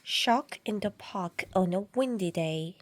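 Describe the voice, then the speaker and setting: A woman reading a picture book aloud to young children, dramatically, with drawn-out words and shifts between hushed and loud delivery. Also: medium pitch, no room sound. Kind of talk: animated read-aloud storytelling for young children.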